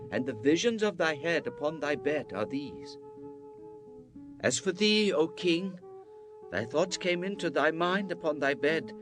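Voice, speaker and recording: A man playing a devout Hebrew prophet in a dramatized scripture reading, solemn, fervent and declamatory, with dramatic pauses. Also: on the high side. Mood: solemn, earnest.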